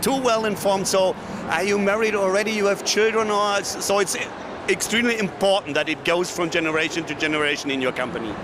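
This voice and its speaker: High voiced guy interviewing, kinda unsure, restarts a lot, cars going by. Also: fairly quick, background noise. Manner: hesitant, apologetic, restarts questions. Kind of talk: casual interview questions.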